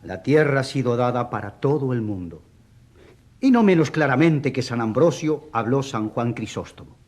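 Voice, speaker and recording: An actor playing a wry, mock-polite defence advocate who argues emphatically in a courtroom radio drama. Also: male voice, medium pitch, lively intonation, normal speed, a little mellow, lightly acted. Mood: solemn.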